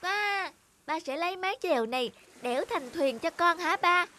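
A woman voicing an eager, admiring little boy in a cartoon, with an excited childlike manner. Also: very high-pitched, moderate pace, a little hiss or hum, full character voice. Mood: delighted. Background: background music.

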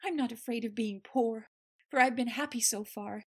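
A woman's voice: a little high, moderate pace, breathy edge. An actress playing an earnest, loyal young woman in an audio drama, speaking heartfelt. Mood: defiant.

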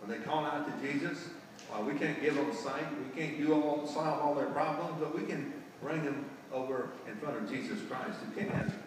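A man's voice: a little high, moderate pace, noisy background. Older man preaching in an echoey church. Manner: folksy, asks rhetorical tag questions. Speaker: lay preacher. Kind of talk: sermon. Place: large church sanctuary, indoors. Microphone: far camera at the back of the sanctuary. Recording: echoey church hall, distant camera.

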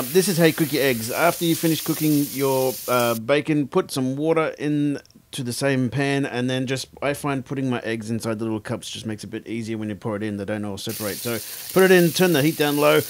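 A man's voice: medium pitch, slight background noise. An Australian man in his thirties chatting casually to camera about cooking, rambling and laughing as he goes. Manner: laid-back, rambling, laughs often. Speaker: cooking vlogger. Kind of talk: casual chat. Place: indoor room with green screen.

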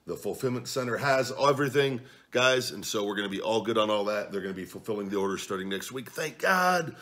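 A man in his forties chatting casually at home as a vlog host, reading viewer comments into a desk camera. He is blunt and profane, jumping from low mutters to loud outbursts. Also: lively intonation, a little fast. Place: home living room at night, seated at a table.

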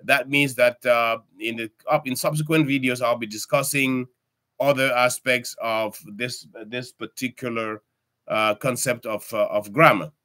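An older man in his sixties, recording a language lesson at a webcam in his home study, speaking haltingly with many fillers and restarts as he addresses viewers as friends. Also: mid-range voice, steady tone, sharp tone. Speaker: language tutor. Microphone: webcam at desk.

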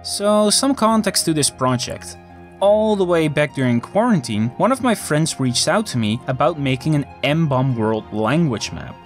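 Lively Dutch-native man narrating. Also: a little high, moderate pace, music in the background. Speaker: YouTube channel host. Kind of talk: scripted voice-over narration.

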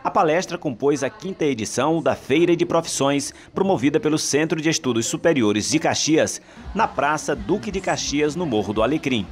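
A man from Brazil with a Brazilian Portuguese accent, reading a scripted news report in a steady, formal way. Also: on the high side, moderate pace.

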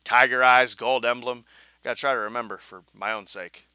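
A young man commenting on a video game as he plays, joking and mocking himself, with a hoarse voice that he says is going. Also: medium pitch, moderate pace, dry recording. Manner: joking, self-mocking, animated. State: hoarse, losing his voice. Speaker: gaming channel host. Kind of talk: casual let's play commentary.

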